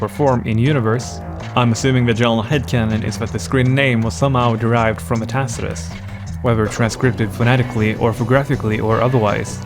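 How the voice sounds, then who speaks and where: Guy doing a scripted lore voiceover, dry jokey asides, mid pitch, music going underneath. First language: Swedish. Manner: wry, playful asides. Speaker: lore video narrator. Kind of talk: scripted narration. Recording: voice-over with music bed.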